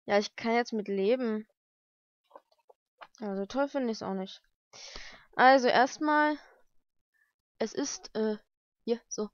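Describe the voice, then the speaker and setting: Casting: young woman, slightly high voice, informal vlogger thinking aloud, dry lo-fi home recording. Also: slow pace, pauses often, no echo. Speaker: vlogger unboxing mail-order books. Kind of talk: casual unboxing commentary. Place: lived-in room at home, indoors.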